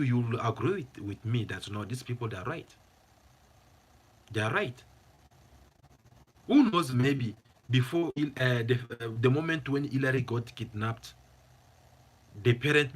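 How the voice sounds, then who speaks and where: A man's voice: mid-range voice, breathy edge. A man hosting his own commentary channel, speaking English as a second language in a passionate, emphatic way and repeating phrases for stress. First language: not English. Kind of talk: opinionated vlog commentary.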